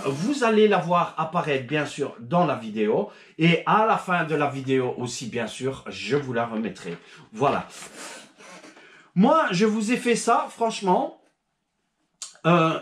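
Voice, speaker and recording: Male channel host, a bit stuffed up from a cold, chatty with lots of hesitations, recorded in a reverberant room. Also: high voice. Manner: rambling, many fillers and restarts. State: recovering from a cold. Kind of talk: casual video talk to subscribers. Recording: echoey room.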